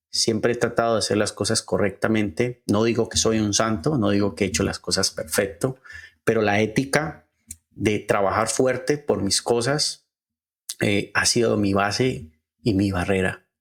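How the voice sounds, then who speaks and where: Colombian man, Cali accent, lowish voice, earnest, halting, filler-heavy storytelling. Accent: Colombian Spanish from Cali. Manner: earnest, halting, with frequent "eh" fillers and slow deliberate stretches. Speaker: podcast host. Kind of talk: personal storytelling monologue.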